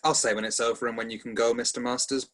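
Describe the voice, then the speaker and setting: A man's voice: medium pitch, level tone, quick pace, fairly bright tone, close to an everyday voice. A man voicing an ancient, eccentric mob boss, commanding and threatening, in an amateur table read. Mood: controlled menace. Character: ancient, idiosyncratic, menacing mafia boss.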